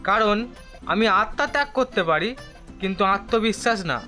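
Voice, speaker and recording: A man's voice: high voice, lively intonation, normal speed, bright, forceful tone, soft background music. A man acting a hapless, overconfident, wisecracking job seeker in a theatrical comedy audio drama style. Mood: eager, cocky.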